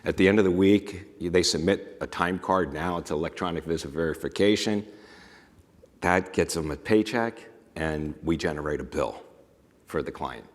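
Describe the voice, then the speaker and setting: A man's voice: sits low, normal speed. A middle-aged man, a home care business owner, speaking on a conference panel in an easygoing, self-deprecating way. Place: conference stage. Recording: long reverb of a large hall.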